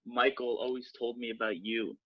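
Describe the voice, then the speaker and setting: A man's voice: medium pitch, level tone, normal speed, dry recording. A man co-hosts a podcast and chats casually. He swears now and then. His recording sounds dull and lo-fi, and his voice sits in a middle register. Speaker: podcast co-host. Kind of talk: casual chat.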